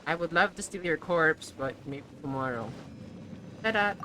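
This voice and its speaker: A woman game streamer giving casual, expressive live commentary, joking and swearing as she plays. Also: low voice, moderate pace, a little hiss or hum, poor audio.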